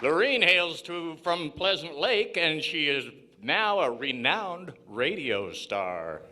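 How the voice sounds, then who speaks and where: A man acting as a genial, excitable 1940s radio variety show host with a folksy, showmanlike delivery. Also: on the high side, takes it slowly, a faint crowd, exaggerated voice. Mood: genial, welcoming.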